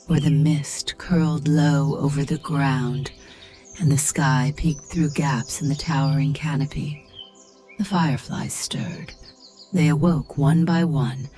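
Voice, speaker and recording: A woman narrates in a very deep, soft and breathy voice, close to a whisper. She takes her time, gently guiding the listener as in a bedtime meditation. Faint music and chirping birds sit behind her. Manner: soothing, gentle, measured. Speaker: sleep story and meditation narrator.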